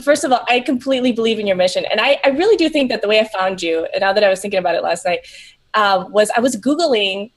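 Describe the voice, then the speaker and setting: A woman from Peru, a coach for moms, speaking as an interview guest in an earnest, reflective way with many halting restarts. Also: mid-range voice, expressive. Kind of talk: casual interview chat.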